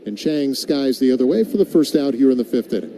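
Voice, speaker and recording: A man's voice: mid-range voice, fast. A man calling live baseball play-by-play on radio, slipping into casual banter with his partner. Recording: muffled broadcast with steady background noise.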